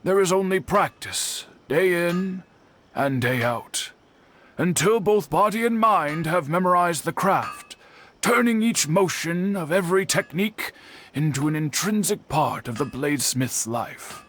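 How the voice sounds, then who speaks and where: A man playing a dignified, earnest traditional master swordsmith in a game, deliberate and formal in speech. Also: high voice, expressive, a bit bright, slight background noise. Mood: solemn, instructive. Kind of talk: game voice acting.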